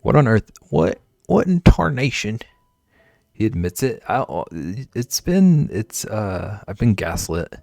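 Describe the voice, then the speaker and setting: A man's voice: on the low side, sing-song, takes it slowly, gravelly, a little mellow, no room sound, faint music behind. A man streaming live, chatting casually and playfully with viewers while he draws. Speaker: livestreamer.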